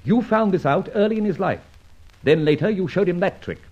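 An actor narrating and playing a suave, confident masked gentleman adventurer in an old radio drama, with a mocking, commanding manner. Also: sits high, animated, brisk. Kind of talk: 1940s radio acting. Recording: dull old radio recording.

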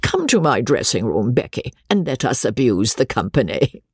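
A reader voicing a shrill, imperious elderly spinster who is wealthy and witty, in a storybook reading. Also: sing-song, moderate pace, husky, dry recording, clean studio sound. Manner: commanding, teasing.

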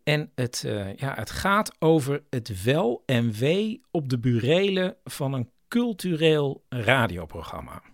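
A man's voice: mid-range voice, big pitch swings, slow, few pauses, no room sound, studio quality. A man hosting a podcast in casual, chatty speech. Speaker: podcast host. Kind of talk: casual chat.

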